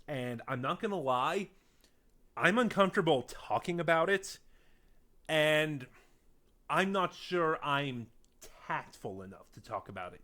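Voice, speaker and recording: A man reviewing audio dramas in a casual, unscripted monologue, speaking haltingly with emphatic points and quieter trailing asides. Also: mid-range voice.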